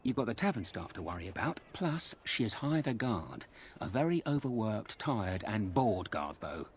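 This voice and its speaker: An actor playing a chatty, sly ex-burglar turned fence in a video game, talking in colloquial patter. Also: male voice, on the low side, sing-song, moderate pace, a little hiss or hum. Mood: conspiratorial. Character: cheeky roguish male thieves' fence, ex-burglar with a conscience. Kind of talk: video game character dialogue.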